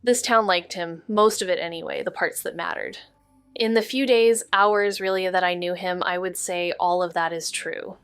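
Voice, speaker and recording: A woman's voice: lowish voice, fairly even intonation, normal speed, clear, resonant tone, no room sound, faint music behind, lightly acted. Understated noir woman investigator played by an actress. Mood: brooding narration. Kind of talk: noir audio drama narration and dialogue.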